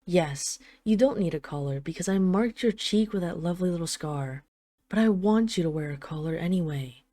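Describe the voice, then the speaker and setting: A woman's voice: fairly low pitch, animated, moderate pace, mellow, lightly acted. A woman playing a haughty, teasing dragon queen in an intimate audio roleplay, with an imperious, sarcastic, mock-sweet manner. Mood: smug, possessive. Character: haughty, teasing dragon queen, possessive of her human pet husband. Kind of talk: intimate scripted audio roleplay addressed to the listener.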